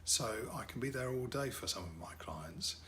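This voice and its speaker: A man's voice: mid-range voice, lively intonation, normal speed, bright, forceful tone, a little hiss or hum. A middle-aged man, a wedding DJ and MC whose first language is French, talking casually about his work with lots of fillers and repeated words.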